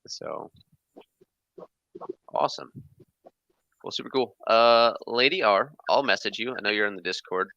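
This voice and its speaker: A man's voice: on the low side, a little slow, creaky, long pauses, pushed and bright, dry recording. A man hosting a casual livestream chat, upbeat and reading from the chat. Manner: upbeat, says awesome a lot. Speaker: host. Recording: dull lo-fi sound.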